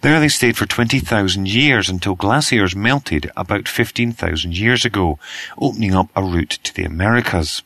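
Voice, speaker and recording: Croaky British man reading news. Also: on the low side, fairly bright tone, no room sound. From Britain. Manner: reads steadily, spells out web addresses slowly. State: croaky voice from a cold. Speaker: news podcast host. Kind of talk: read news bulletin.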